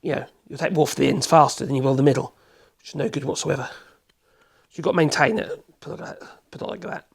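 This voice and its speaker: A man with a cold, hoarse, chatting in a rambling way as he demonstrates in his workshop. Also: mid-range voice, steady tone, fast talker. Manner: rambling, chatty, self-correcting, chuckles. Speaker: woodworking channel host.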